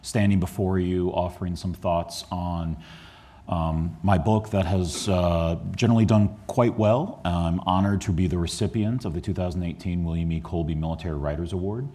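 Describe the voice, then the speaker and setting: A man with a very deep voice lectures on his history book in a museum hall. He talks earnestly and often stalls on ums and restarts, and he comes from the Chicago area. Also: normal speed, mellow. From Chicago area, USA. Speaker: historian giving a book talk.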